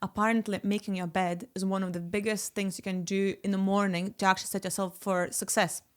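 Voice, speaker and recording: A woman's voice: medium pitch, little pitch movement, fairly quick, smooth. Lithuanian woman hosting a chatty podcast. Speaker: podcast host. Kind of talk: casual podcast interview.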